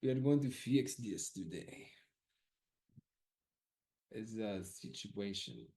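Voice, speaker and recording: A man's voice: fairly low pitch, expressive, slow pace, warm tone. A man running a live audience poll about class times, casually thinking aloud, loose and profane, often repeating himself.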